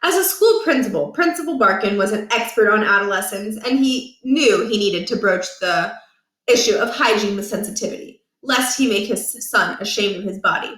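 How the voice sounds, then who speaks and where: Bright woman reading a story aloud. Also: mid-range voice, normal speed, echoey room, poor audio. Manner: expressive, lively storytelling. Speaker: storybook reader. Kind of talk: reading a children's chapter book aloud.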